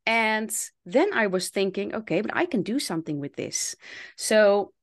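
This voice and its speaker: A woman's voice: medium pitch, animated, normal speed. A woman in her forties with a Dutch accent, a former teacher now an English coach, thoughtfully telling her story in a podcast interview. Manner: reflective, speaks in long runs with quoted inner thoughts.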